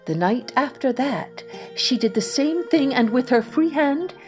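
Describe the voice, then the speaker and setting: A woman narrating a scripted children's story in an expressive way, dropping to a hush for suspense. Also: high-pitched, unhurried, faint music behind. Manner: expressive, hushed at suspenseful moments. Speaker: storyteller, podcast host.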